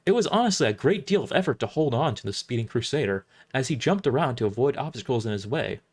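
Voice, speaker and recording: A man reading first-person dramatic narration for an audio drama, somewhat halting, often restarting his lines. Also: medium pitch, expressive, breathy edge.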